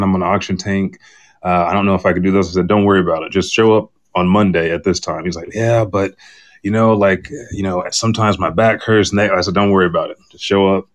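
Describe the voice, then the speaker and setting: A middle-aged man with a very low-pitched voice talks as a podcast guest. He tells personal stories in a casual, conversational way, quoting exchanges as he goes.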